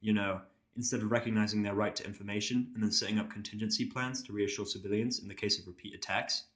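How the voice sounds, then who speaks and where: A man's voice: lowish voice, steady tone, fast, a bit bright, close to an everyday voice. Irritable, sarcastic male hospital patient, acted by a man. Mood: sceptical. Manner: blunt, cynical, swearing. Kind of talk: first-person audio drama narration and dialogue.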